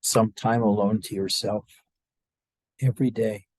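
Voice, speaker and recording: A man with a husky, fairly low voice leads a guided meditation, recorded close and dry. He talks as a spiritual teacher to his group, unscripted and halting. Long pauses separate his short phrases. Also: steady tone, no echo.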